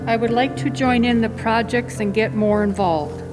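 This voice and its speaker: A woman with a slightly high voice reads short testimonials aloud. Her voice rings in a reverberant space, with instrumental music behind. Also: steady tone, normal speed. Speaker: church team member reading quotes. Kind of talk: reading written testimonials aloud.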